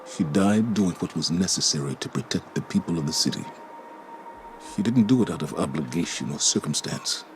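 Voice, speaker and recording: Very deep, grave veteran commander. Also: male voice, expressive, noisy background. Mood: restrained grief. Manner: solemn, halting, restrained. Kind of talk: dramatic video game monologue.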